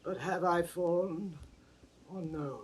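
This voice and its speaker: An older man playing a blinded old nobleman, grave and despairing, in solemn, measured classical Shakespearean stage acting. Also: sits high. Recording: distant, noisy 1960s studio drama recording.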